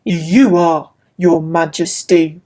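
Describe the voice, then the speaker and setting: A woman voicing a magic mirror in a storybook, speaking lower and gravely. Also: takes it slowly, over loud music. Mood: solemn.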